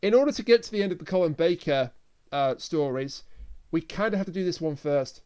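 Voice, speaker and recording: High-pitched man chatting about a story. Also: lively intonation, brisk, darkish tone, clean tone. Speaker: podcast co-host. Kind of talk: casual review discussion.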